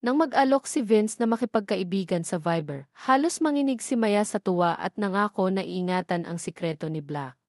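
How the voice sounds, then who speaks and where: A woman's voice: medium pitch, lively intonation, moderate pace, a little mellow, no room sound. Woman narrating a scripted story, dry recording.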